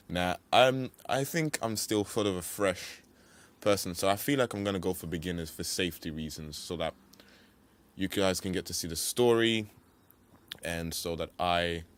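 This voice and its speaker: Low-voiced young man, casual gaming commentary. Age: young adult. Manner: rambling, playful mild swearing and slang. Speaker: let's play host. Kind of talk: casual video game commentary.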